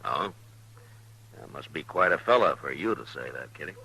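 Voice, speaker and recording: Casting: steady Western lawman, deep and husky, very forceful edge, plain-spoken authority, radio drama. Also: moderate pace, heavily acted, rough recording. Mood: easygoing. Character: big steady frontier marshal, laconic and firm. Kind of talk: old-time radio Western acting.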